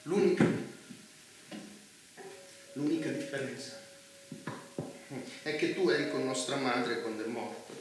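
An actor playing an embittered, unhinged man with political power, in intense stage style, halting and repeating himself with explosive force. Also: medium pitch, close to an everyday voice. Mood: guarded, probing. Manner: halting, repeating words, erupting into shouts. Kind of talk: intense theatrical stage acting. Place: theatre stage, picked up from afar. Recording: very echoey, distant, noisy theatre recording.

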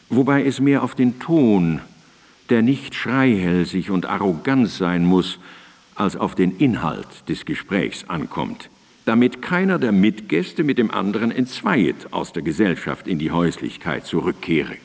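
Radio drama: earnest male philosopher, formal and deliberate, very varied intonation, hall reverb. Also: lowish voice, a little mellow, full character voice. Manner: formal, deliberate, emphatic enumeration. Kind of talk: literary radio drama monologue, recited philosophical prose.

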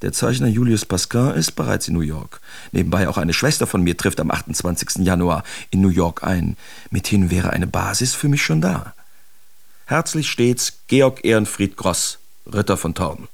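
A man's voice: low-pitched, moderate pace, creaky, husky, full character voice. An actor playing a bitter, sardonic young German artist reading his letters in a radio drama, theatrical and sharply emphatic. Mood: pompous, dry. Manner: theatrical, sarcastic, emphatic enunciation. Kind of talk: literary radio drama monologue, letters read aloud.

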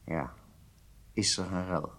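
A man's voice: low-pitched, very slow, fairly bright tone, exaggerated voice. An actor playing a frank, questioning male intelligence colleague in a radio drama, direct, informal and probing. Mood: reflective.

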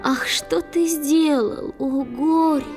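A woman playing a gentle, loving young water-spirit wife who pleads tenderly in drawn-out theatrical verse for a children's audio drama. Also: sits high. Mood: grieving. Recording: studio drama over soft music.

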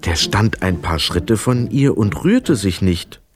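Animated male storyteller with music behind. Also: on the low side, takes it slowly. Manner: expressive, dramatic storytelling. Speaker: narrator. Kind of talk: scripted children's story narration.

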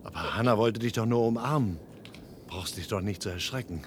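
Low-voiced, wary, urgent son played by a man. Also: a little fast, natural voice. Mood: imploring, urgent. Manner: earnest, cautious, urgent. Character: wary, urgent adult son on a forbidden search. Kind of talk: German science-fiction radio play acting. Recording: noisy vintage radio play, low rumble.

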